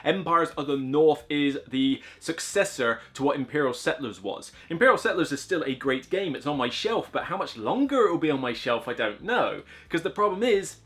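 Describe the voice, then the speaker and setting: A man's voice: fairly high pitch, fairly bright tone. A man reviewing board games in a casual, enthusiastic, rambling way, full of fillers and asides.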